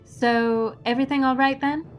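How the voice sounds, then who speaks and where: A woman's voice: high-pitched, fairly even intonation, moderate pace, soft background music, natural voice. A woman playing a courteous, unruffled swashbuckling heroine with a wry, philosophical manner in an audio drama. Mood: politely unruffled. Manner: polite, unruffled, wryly philosophical. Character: composed, courteous, witty female masked swashbuckling heroine.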